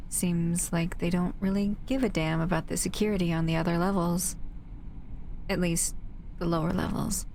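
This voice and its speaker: A woman playing a gentle, protective shadow monster in an intimate audio roleplay, speaking softly and affectionately to the listener. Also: fairly low pitch, slight background noise. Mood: calm, relieved.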